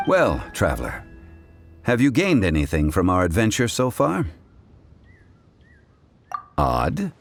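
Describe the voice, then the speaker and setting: An actor playing a refined, calm, scholarly gentleman in a video game, speaking in a formal, measured, ponderous way. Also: lowish voice, vocal fry, full character voice. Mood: composed, musing. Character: refined, scholarly gentleman, calm and absent-minded about money. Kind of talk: video game dialogue acting. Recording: music playing behind. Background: background music.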